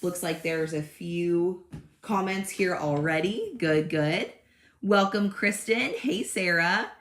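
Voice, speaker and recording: Chatty, slightly hoarse woman crafter. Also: lowish voice, takes it slowly, reverberant. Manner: chatty and friendly, greets live commenters by name. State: slightly hoarse, recovering from illness. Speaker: craft channel host. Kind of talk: casual livestream chat. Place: home craft room.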